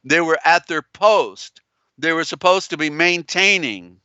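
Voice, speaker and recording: A man hosting a live-stream lecture, with a strained, tiring voice and a halting, meandering delivery. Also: on the high side, sharp tone, clear, resonant tone, no echo, lo-fi sound. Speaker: live show host.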